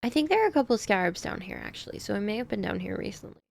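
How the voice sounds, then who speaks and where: Chatty woman streamer with gravelly tone. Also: mid-range voice, very animated, rapid-fire, a little mellow. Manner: chatty, muttering to herself while playing. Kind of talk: casual gameplay commentary.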